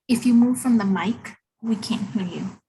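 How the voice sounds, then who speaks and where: A woman's voice: fairly high pitch, normal speed, warm tone, a little hiss or hum, rough recording. A woman helping with a live stream who gives short, direct technical cues from across the room.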